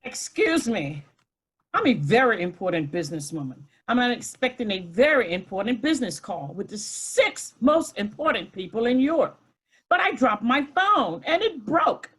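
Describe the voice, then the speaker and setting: A woman's voice: fairly high pitch, sing-song, moderate pace, fairly bright tone, slightly rough, full character voice, low-quality recording. A woman playing an arrogant, demanding, self-important businesswoman in her fifties at a comedy script table read. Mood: haughty, demanding. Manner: haughty, demanding, disdainful.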